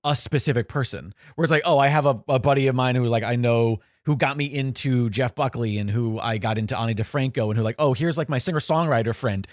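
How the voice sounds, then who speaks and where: A man's voice: medium pitch, fast talker, hardly any pauses, dry recording, studio quality. A man in his forties, possibly, co-hosting a podcast in casual chat, rambling and self-correcting with a joking tone. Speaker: podcast co-host.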